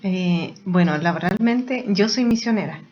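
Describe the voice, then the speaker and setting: A woman from Chile with a Chilean accent, a missionary and nurse, answering interview questions calmly. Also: on the low side, a little slow. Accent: Chilean Spanish. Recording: dull lo-fi sound.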